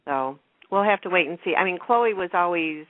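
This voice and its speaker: Woman, very low voice, casual radio panel chat, dry narrow phone sound. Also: animated, normal speed, no room sound. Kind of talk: casual chat about TV soaps. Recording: thin phone-like radio line.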